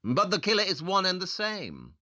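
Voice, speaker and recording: A man's voice: high voice, wildly swooping pitch, normal speed, sharp tone, exaggerated voice. An actor playing a coolly logical, aloof male detective in an audio drama, with a detached, matter-of-fact, clipped delivery.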